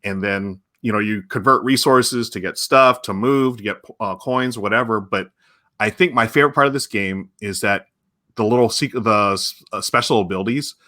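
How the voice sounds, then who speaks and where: A man hosting a casual livestream chat, talking enthusiastically and warmly loose. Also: on the low side, moderate pace. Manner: enthusiastic, chatty. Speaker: host.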